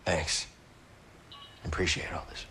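An actor playing a weary, determined young warrior in exile, earnest and brooding, in a video game cutscene. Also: male voice, unhurried, pushed and bright, slight background noise. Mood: subdued gratitude.

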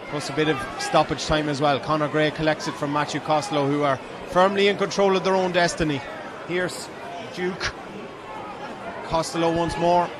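An Irish man giving live play-by-play commentary on a Gaelic football match. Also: fairly high pitch. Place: outdoor stadium stand. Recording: loud crowd and outdoor stadium noise. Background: loud crowd and ground noise.

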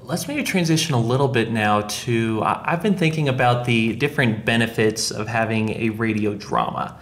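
A man's voice: medium pitch, normal speed, fairly bright tone. A man hosting a podcast, chatting casually and thinking aloud as he rephrases his points. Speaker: podcast host. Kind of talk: casual discussion.

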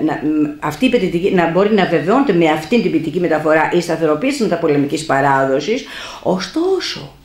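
Woman reading an academic talk, gravelly. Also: low voice, darkish tone. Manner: measured academic reading, slips into loose asides. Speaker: university professor speaking at a book launch. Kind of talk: reading a prepared critical talk. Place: small cafe event room, indoors. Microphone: table mic on a stand. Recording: echoey room.

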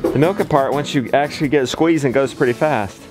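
A man in his thirties with a mid-pitched voice talks in a casual, folksy way, like a dad vlogging his chores. He is encouraging, and music plays behind him. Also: a little fast, darkish tone, rough recording. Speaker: homesteading vlogger, father. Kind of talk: casual vlog talk while doing farm chores.

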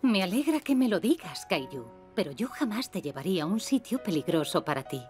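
A woman's voice: fairly low pitch, big pitch swings, brisk, darkish tone. An actress playing a caring, patient mother of a small boy in a cartoon, soothing and coaxing, with a Castilian Spanish accent. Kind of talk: animated dub dialogue. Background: music.

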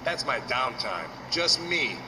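A man's voice: medium pitch, big pitch swings, takes it slowly. An actor playing a laid-back, sarcastic male wrestler in a video game cutscene. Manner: sarcastic, slacker drawl. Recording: distant noisy game audio.

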